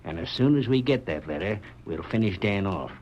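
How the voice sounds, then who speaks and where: Deep-ish calculating western outlaw, flat plotting tone, dull 1930s radio. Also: male voice, normal speed. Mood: scheming. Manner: cold, matter-of-fact plotting. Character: calculating low-voiced male outlaw. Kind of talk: 1930s radio drama acting.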